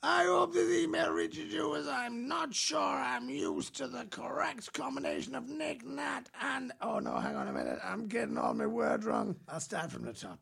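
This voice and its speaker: Man, podcast co-host, very gravelly, husky, lively pitch, playful listener-email reading. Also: normal speed, hardly any pauses. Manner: playful, exaggerated reading with big pitch jumps.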